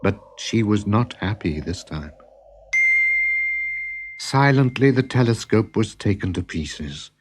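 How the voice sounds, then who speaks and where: A man narrating a children's story as an expressive storyteller. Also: fairly low pitch, takes it slowly, long pauses, background noise. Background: music.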